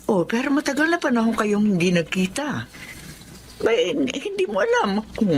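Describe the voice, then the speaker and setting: A woman's voice: a little high, very animated, normal speed. A woman playing a cautious, worried older mother in a Filipino drama, admonishing as she talks. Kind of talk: Filipino radio-style drama acting. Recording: faint background noise.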